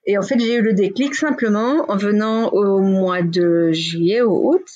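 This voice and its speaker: Woman, 40s, from Belgium, interview guest; husky, frank, chatty, filler-heavy. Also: mid-range voice, a little slow, a little mellow, highs cut off. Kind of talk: casual interview talk.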